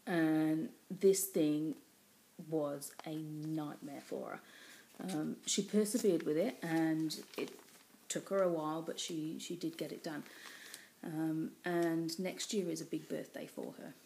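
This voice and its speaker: Low gravelly English woman, chatty. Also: fairly even intonation, takes it slowly, slight background noise, poor audio. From England. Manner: rambling, many ums, warm and chatty. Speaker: cross-stitch vlogger. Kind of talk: casual chatty craft vlog. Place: small room indoors at home. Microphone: built-in webcam mic at close range.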